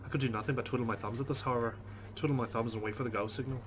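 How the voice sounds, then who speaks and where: A man's voice: medium pitch, steady tone, racing, slight background noise. A man hosting a let's play, reading visual novel text aloud and adding informal, chatty commentary.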